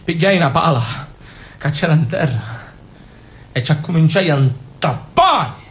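A man's voice: fairly high pitch, animated, unhurried. A man acting a naive, passionate young Sicilian man in an intense, confessional stage monologue with a Sicilian accent. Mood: agitated, defiant. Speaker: stage actor. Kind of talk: theatrical monologue in dialect.

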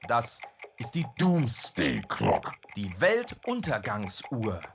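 A woman playing an ominous Big Brother doomsday narrator in a radio play, with a theatrical, deliberate delivery. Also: extremely low pitch, huge pitch swings, faint music behind, exaggerated voice.

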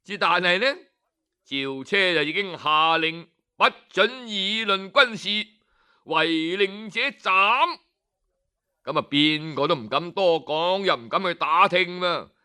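A man with a Hong Kong Cantonese accent spins an old tale in the traditional storyteller's manner. His voice sits high and carries a clean, smooth tone, and he lingers over particles and pauses for effect. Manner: leisurely, expressive, with drawn-out particles and dramatic pauses.

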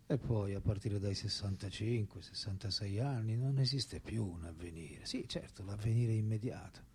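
An actor playing an elderly French philosopher in a radio drama, reflective and unrepentant, speaking in a measured way that often drops toward a breathy whisper. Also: male voice, lowish voice, darkish tone, a little hiss or hum, lightly acted. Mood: resigned, reflective.

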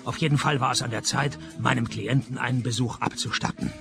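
An actor in a radio play playing a cynical private detective who narrates and questions with dry sarcasm. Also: male voice, medium pitch, steady tone, fairly quick, vocal fry, over music, lightly acted. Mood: wry narrating. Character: sardonic, cynical male private detective narrating his case.

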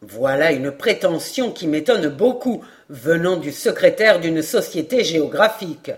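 A woman voicing a sceptical, proud British lord with a forceful, indignant manner in an audiobook. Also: low-pitched, expressive, measured pace. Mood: scornful. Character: incredulous, proud British lord challenging a scholar. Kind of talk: expressive audiobook character reading.

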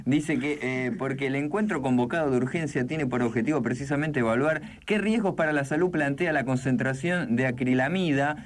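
A man's voice: mid-range voice, a little fast, few pauses. A man with a Buenos Aires accent hosting a radio show, reading news casually with mocking asides and frequent tag questions. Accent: Argentine, Buenos Aires.

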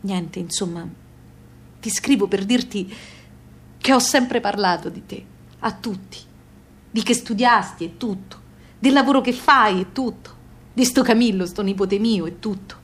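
Husky older southern Italian mother. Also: mid-range voice, unhurried, long pauses. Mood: weary, tender. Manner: plain, homely, repetitive. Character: ailing, affectionate older mother writing to her daughter. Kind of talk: theatrical monologue on radio.

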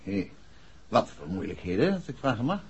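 An actor playing a composed, courteous gentleman detective with a husky voice in a 1960s radio play. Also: medium pitch, very animated, moderate pace, heavily acted. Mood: courteous, probing. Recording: dull old radio recording.

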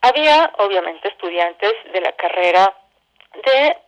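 A woman's voice: mid-range voice, expressive, a little slow. A Mexican woman speaking as an interview guest, an arts educator explaining her work conversationally and building her points through lists and repetition. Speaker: guest, arts educator and theatre company director. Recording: muffled, band-limited phone call.

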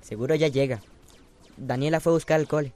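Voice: mid-range voice, fairly quick, a little mellow, close to an everyday voice. A performer in a radio soap opera playing a sullen, defiant teenage schoolboy who talks in curt slang.

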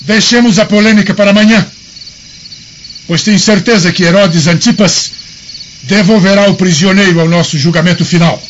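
An indignant, commanding Roman governor played by a man in a radio drama. He declaims in a fairly high voice. The recording is dull, with faint noise behind. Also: steady tone, normal speed, close to an everyday voice. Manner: authoritative, declamatory. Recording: muffled radio drama, faint night ambience.

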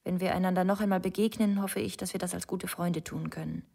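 An actress playing a hurt, proud, quietly resolute woman in a radio drama, speaking with restraint. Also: fairly low pitch, fairly even intonation, fast talker, gravelly, slightly rough. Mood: sad, restrained.

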